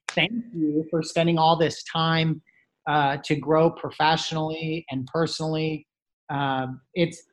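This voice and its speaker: A host with an ordinary middle-pitched voice speaks hesitantly in a casual studio chat, filling pauses with ums and uhs. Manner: hesitant, with many fillers.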